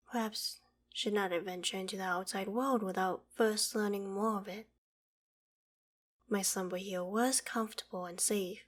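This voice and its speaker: A woman playing an ancient female dragon in an ASMR roleplay, proud and curious, speaking in a measured, formal, archaic way. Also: medium pitch, close to an everyday voice. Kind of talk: ASMR roleplay audio drama, addressing the listener.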